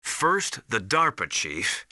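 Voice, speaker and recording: A very bright, close and dry male voice with lively intonation. He is a seasoned military survival mentor in a video game, giving practical, encouraging briefings in a matter-of-fact way. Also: mid-range voice, takes it slowly, no room sound, exaggerated voice.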